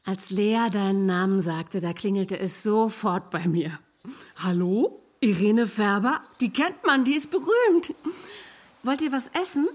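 A woman's voice: medium pitch, a little slow, natural voice. Actress as brash, chatty young woman. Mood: brash, excited. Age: young adult. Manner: blunt, chatty. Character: brash, nosy, excitable young female flatmate. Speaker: supporting character, flatmate. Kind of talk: naturalistic radio drama dialogue.

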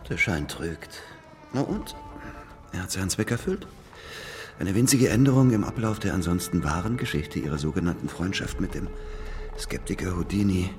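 An actor playing an arrogant, aggrieved English detective in a radio drama, eloquent, theatrical and sardonic. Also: male voice, low voice, moderate pace, darkish tone, faint music behind, close to an everyday voice. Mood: cool, sardonic. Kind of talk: radio drama acting and first-person narration.

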